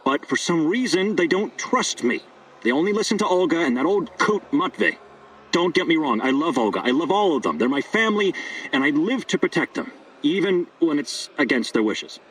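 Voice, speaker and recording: A man's voice: fairly high pitch, big pitch swings, moderate pace, fairly bright tone, distant mic, slight background noise, low-quality recording. An actor playing a scheming, persuasive male doctor in a video game, earnest and wheedling with hesitant turns. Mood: self-righteous. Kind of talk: video game dialogue acting.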